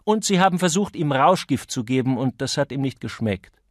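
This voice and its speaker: A man's voice: medium pitch, lively intonation, a little fast, dry recording, voice pushed down, natural voice. A man voicing a cool, enigmatic man who teases in a dry, condescending way, as an audiobook character. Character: cool, mocking, enigmatic man toying with his listener.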